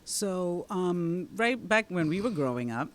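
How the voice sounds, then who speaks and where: A woman's voice: fairly low pitch, lively intonation, measured pace. A woman from the Dominican Republic with a Dominican accent, a guest answering interview questions in an explanatory way, often checking in with "right?". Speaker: guest, township committeewoman and teacher.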